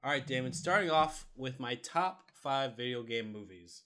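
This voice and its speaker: A man's voice: medium pitch, expressive, moderate pace, bright, forceful tone. A man co-hosting a podcast in casual film discussion, chatty and loosely profane. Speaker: podcast co-host.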